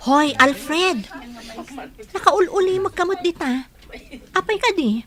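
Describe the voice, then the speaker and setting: A woman's voice: very high voice, animated, slow pace, fairly bright tone, heavily acted. An actress playing a playful, affectionate young girlfriend who teases and giggles, with crowd noise behind her. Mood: teasing. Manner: teasing, giggly. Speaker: radio drama actor. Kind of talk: radio drama acting. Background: park crowd.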